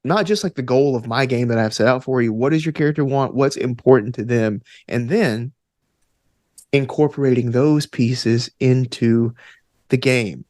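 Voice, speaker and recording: A man with a mid-pitched voice talks as a guest in a reflective interview. He is earnest and encouraging, circling back to repeat phrases for emphasis. The sound is close and dry. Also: normal speed, darkish tone, no echo. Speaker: podcast guest, actor and game master. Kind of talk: casual, reflective interview conversation.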